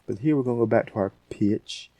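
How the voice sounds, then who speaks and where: A man hosting a casual software tutorial, thinking aloud in an unscripted way. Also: on the low side, a little fast, no echo, low-quality recording. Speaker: tutorial host.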